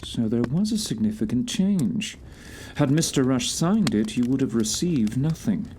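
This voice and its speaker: A man acting a composed Victorian detective in an audio play, questioning in a measured, formal and blunt way. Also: medium pitch, lively intonation, mellow, close to an everyday voice. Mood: probing, composed. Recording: faint background noise.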